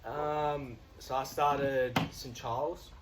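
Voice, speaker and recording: A man's voice: mid-range voice, fairly even intonation, takes it slowly, low-quality recording. A young man born in New Zealand and raised in Sydney, telling stories hesitantly with many ums and restarts. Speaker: young rugby player. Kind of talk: casual interview chat. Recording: noticeable background noise.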